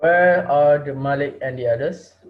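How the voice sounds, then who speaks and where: Male art teacher turned cool hostage-taker played by a young man, higher register, lo-fi student drama. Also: moderate pace, natural voice. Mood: polite, hurried. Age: young adult. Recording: dull lo-fi home recording.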